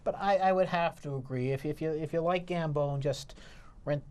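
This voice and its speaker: A man's voice: a little high, normal speed, a little mellow, smooth. Male co-host reviewing theatre, informal and mildly critical, feeling his way through sentences. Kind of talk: conversational review banter.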